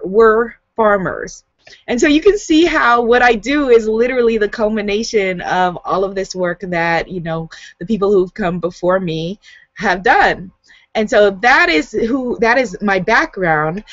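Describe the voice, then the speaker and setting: Woman, light Guyanese accent, warm, laughs often, casual to camera, muffled dry webcam audio. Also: fairly high pitch, no room sound. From United States. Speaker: author. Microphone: webcam on a video hangout. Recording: dull, dry home recording.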